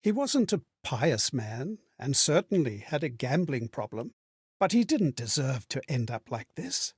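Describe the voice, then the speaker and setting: A man voicing a courteous, melancholy lost spirit in a video game, reflecting on memories in a measured, theatrical way. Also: medium pitch, very animated, husky, dry recording, clean studio sound. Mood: mournful. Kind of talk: dramatic video game monologue.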